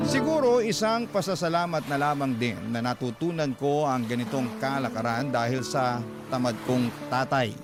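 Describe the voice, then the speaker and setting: A man acting as a young kept man from a radio drama, with an earnest, confessional delivery. His mid-pitched voice sits over prominent background music. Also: natural voice. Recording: music bed behind voice.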